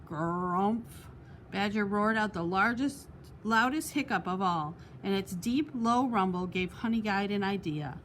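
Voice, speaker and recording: A woman's voice: mid-range voice, normal speed, a bit bright, a little hiss or hum. A woman reading a children's picture book aloud expressively, as a storytime host. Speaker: storytime reader.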